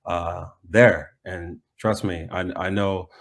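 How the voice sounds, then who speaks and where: An American man talking reflectively in a conversational interview, often pausing mid-thought to restate himself. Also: deep voice, fairly even intonation. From United States. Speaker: soccer coach being interviewed.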